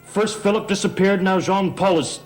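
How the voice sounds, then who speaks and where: A man's voice: high-pitched, normal speed, a little mellow, soft background music, natural voice. Sardonic, taunting young stepson, acted by a man. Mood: accusing. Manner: sarcastic, taunting. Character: sardonic, accusing young stepson of a rich family. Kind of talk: 1960s gothic soap opera acting.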